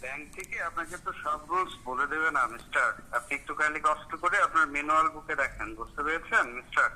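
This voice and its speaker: A man's voice: fairly high pitch, fast, bright, forceful tone. A man making an unscripted phone call as a caller posing as bank staff, giving instructions in an insistent, officious manner.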